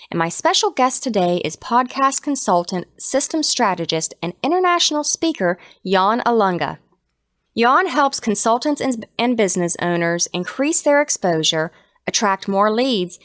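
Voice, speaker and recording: A woman's voice: medium pitch, fairly bright tone, no echo, studio quality. Woman hosting a podcast. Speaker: podcast host. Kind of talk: scripted intro then interview questions.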